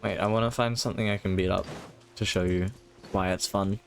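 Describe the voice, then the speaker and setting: A man's voice: deep voice, steady tone, a little fast, vocal fry. A man doing casual live game commentary, muttering short playful reactions as he plays. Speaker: video game streamer. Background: game music.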